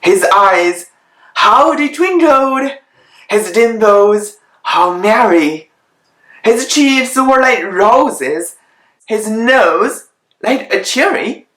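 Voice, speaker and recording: Slow, echoey children's storybook reader. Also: medium pitch, animated, a bit bright. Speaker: host reading children's stories aloud. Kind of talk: read-aloud of children's Christmas stories with off-the-cuff asides. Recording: roomy, echoing home recording.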